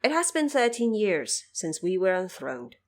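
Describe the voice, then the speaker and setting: A woman's voice: medium pitch, very animated, measured pace. A dignified male emperor , as a woman narrator plays him speaks with humility and restraint. The delivery is formal and regal, in the manner of a storybook audio drama. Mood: polite, subdued. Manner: formal, restrained, uses royal we. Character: humble, dignified male emperor defending himself.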